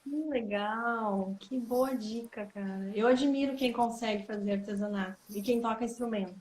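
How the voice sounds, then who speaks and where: Woman, high voice, echoey room. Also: normal speed. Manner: warm reflective, many fillers like "né" and "assim". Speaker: guest coach. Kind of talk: casual live chat.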